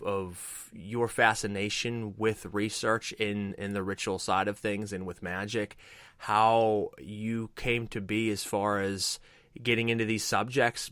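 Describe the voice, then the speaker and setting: Low-voiced guy hosting an interview show, pumped about sponsors, lots of ums, dry close sound. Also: a bit bright, no room sound. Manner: enthusiastic, promotional, frequent "um" and "uh". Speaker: host.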